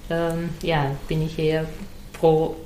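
A woman's voice: deep voice, monotone, slow pace, warm tone, roomy sound, a little hiss or hum, rough recording. A woman whose first language is Serbian, a novelist guest speaking in a hesitant, thoughtful way with many fillers in a casual conversation.

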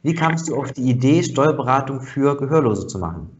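Guy hosting a podcast, easygoing questions, mid pitch, kinda muffled sound. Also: lively intonation, fairly quick, a little hiss or hum. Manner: chatty, conversational questions with fillers. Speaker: podcast host. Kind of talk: podcast interview.

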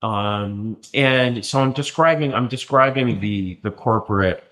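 A man explaining in a lecturing way in conversation, often pausing with ums and restarting his sentences. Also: on the low side, fairly bright tone. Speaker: interview guest.

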